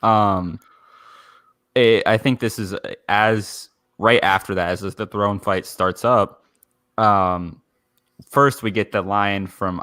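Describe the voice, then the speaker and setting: A man co-hosting a fan podcast, talking casually in a halting, rambling way as he searches for words. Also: low voice, a bit bright, no echo, clean studio sound. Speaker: podcast co-host.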